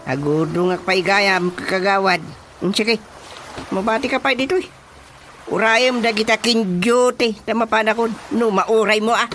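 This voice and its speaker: A man's voice: high-pitched, steady tone, unhurried, fairly bright tone, slight background noise. An actor playing a plain-spoken, pious village chairman in a radio drama, with an Ilocano accent and an exclamatory, emphatic manner. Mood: friendly, brisk. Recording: music bed under dialogue.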